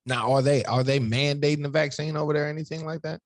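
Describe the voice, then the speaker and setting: A man's voice: fairly high pitch, fairly even intonation, fast, no room sound, clean studio sound. A man hosting a casual podcast interview, asking easygoing questions and giving short encouraging replies. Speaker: podcast host.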